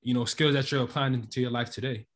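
A man's voice: mid-range voice, steady tone, fast talker. A man hosting a casual podcast interview, speaking informally with slangy phrasing. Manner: informal, slangy, filler-laden. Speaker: podcast host.

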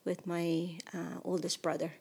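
Low-voiced woman, podcast guest. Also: monotone, measured pace, gravelly, warm tone, slightly rough. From Mexico. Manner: hesitant, many fillers. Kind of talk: casual interview conversation.

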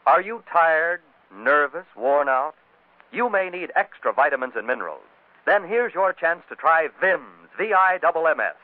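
An American man with a lively, swinging voice pitches a product in 1940s radio ad style. He sounds like an animated salesman. The recording is dry, dull and narrow. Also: moderate pace, no room sound. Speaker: commercial announcer.

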